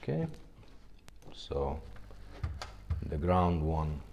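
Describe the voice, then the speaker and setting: A man who speaks English as a second language, giving an informal hands-on tutorial in a halting way, often checking in at the ends of phrases. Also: a bass voice, very animated, lo-fi sound, a little hiss or hum. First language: not English. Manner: halting, repeatedly ending phrases with a checking "okay?". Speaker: video tutorial host.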